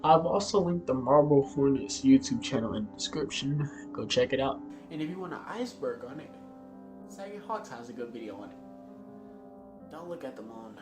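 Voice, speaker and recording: A man's voice: mid-range voice, lively intonation, moderate pace. A man hosting an online video in a casual explainer style, informal and offhand, sometimes stumbling over his words. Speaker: YouTube video host. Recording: roomy echo, music behind. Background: background music.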